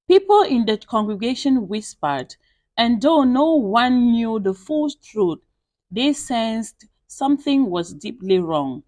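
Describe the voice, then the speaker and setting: A woman telling a dramatic scripted story with expressive, deliberate phrasing. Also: fairly high pitch, no echo. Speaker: storyteller and channel host.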